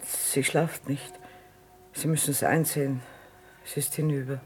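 A man's voice: mid-range voice, unhurried, natural voice. Radio drama part for a man: grave peasant with speech, level and restrained, faint music. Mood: sombre. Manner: flat, sombre. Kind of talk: stage-style radio drama acting.

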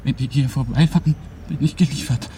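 A man's voice: medium pitch, a little fast, warm tone, slight background noise, close to an everyday voice. An actor playing a desperate, frightened man in hiding in a radio play, urging and pleading to himself. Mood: tense, desperate.

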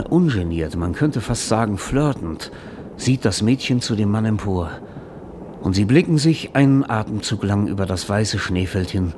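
Ein Schauspieler spielt in einem deutschen Hörspiel einen alternden, trinkenden Drehbuchautor, der schwärmerisch und pathetisch erzählt. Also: male voice, lowish voice, background noise, close to an everyday voice. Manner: theatrical, rhapsodic, savoring his words. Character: drunken, aging screenwriter, dreamy and grandiose storyteller. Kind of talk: German radio drama acting.

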